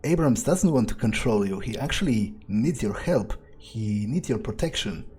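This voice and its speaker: A man narrating his gameplay in character, thinking aloud in a measured, musing way. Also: mid-range voice, expressive, a little mellow. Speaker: gamer role-playing as his character. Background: faint music.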